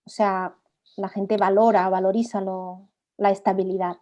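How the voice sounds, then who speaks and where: A woman's voice: mid-range voice, little pitch movement, normal speed, mellow. A woman who is a native Portuguese speaker, co-hosting a casual discussion. She speaks tentatively and searches for her words. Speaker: discussion co-host. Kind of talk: casual conversational dialogue.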